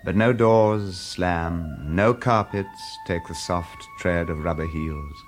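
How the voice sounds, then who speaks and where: A man narrating a scripted audio drama in a measured, hushed storytelling manner. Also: deep voice. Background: music throughout.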